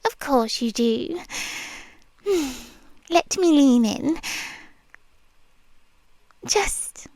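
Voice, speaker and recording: Brief: British woman as a controlling nurse, breathy ear-close whispers, very slow, high voice. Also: animated, mellow, no room sound. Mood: coaxing. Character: possessive female nurse, soft and controlling. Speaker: ASMR roleplay performer. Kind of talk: whispered ASMR roleplay.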